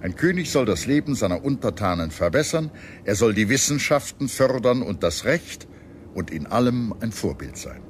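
A man reading a scripted history documentary in a measured, expressive storytelling manner. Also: lowish voice, a little hiss or hum. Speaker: documentary narrator. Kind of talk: scripted documentary narration with dramatized quotes. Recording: music under the voice. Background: background music.

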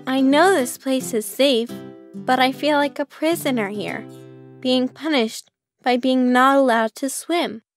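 A woman voicing a lonely, gentle young duckling in a children's cartoon, earnest and plaintive. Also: high voice, unhurried. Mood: wistful. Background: music.

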